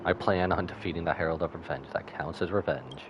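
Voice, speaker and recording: Very low-voiced, joking male game streamer. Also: brisk, highs cut off, noisy background. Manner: joking, wry. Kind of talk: casual live commentary with read-aloud dialogue choices.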